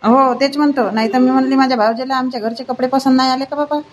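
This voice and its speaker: A woman playing an outspoken, practical village sister-in-law in an animated family comedy. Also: high-pitched, fast talker, close to an everyday voice. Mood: insistent.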